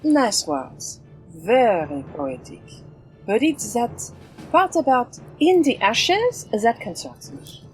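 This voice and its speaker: A woman's voice: fairly high pitch, big pitch swings, takes it slowly, soft background music, poor audio. A woman acting a haughty swashbuckling heroine, wry and commanding, in an audio drama.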